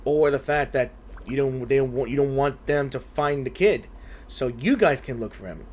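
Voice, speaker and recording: A man's voice: mid-range voice, moderate pace, darkish tone, slight background noise. A man doing casual gameplay commentary in a skeptical, rambling manner. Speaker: gaming video creator.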